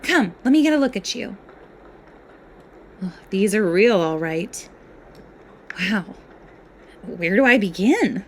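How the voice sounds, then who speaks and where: A woman acting a playful, nerdy, excitable fairy student with a dramatic storytelling manner in an amateur voiced roleplay. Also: mid-range voice, takes it slowly, long pauses, a little hiss or hum. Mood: amazed, excitable.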